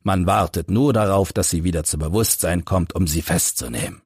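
Man reading a composed, probing gentleman detective. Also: low voice, lively intonation, normal speed, husky, no echo, clean studio sound, pitched below the performer's own voice, exaggerated voice. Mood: firm, probing. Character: composed, probing gentleman investigator confronting a suspect.

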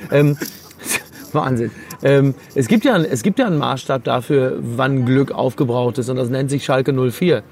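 A man's voice: medium pitch, measured pace, darkish tone. A man co-hosting a casual football podcast with ironic, teasing banter, speaking from a busy public place. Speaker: podcast co-host. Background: people in a busy public place.

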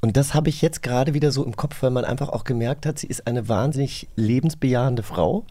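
A man's voice: medium pitch, brisk, mellow. Chatty male podcast co-host. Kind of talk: casual chat.

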